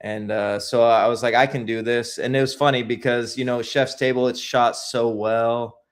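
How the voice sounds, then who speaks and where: A man's voice: mid-range voice, flat delivery, moderate pace. A man being interviewed as a guest filmmaker, telling his stories in a casual, rambling way with lots of restarts.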